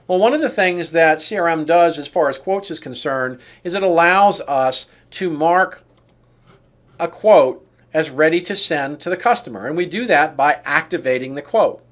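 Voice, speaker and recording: A man narrating an on-screen software demonstration, explaining each step in turn. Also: fairly high pitch, normal speed. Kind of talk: walkthrough tutorial narration of on-screen steps.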